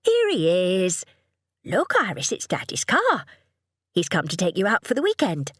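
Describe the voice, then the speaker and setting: A woman voicing a gentle, appeasing mother in an audiobook, crooning and determinedly cheerful. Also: medium pitch, very animated, moderate pace, husky, dry recording, clean studio sound, pitched above the performer's own voice. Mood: bright, polite.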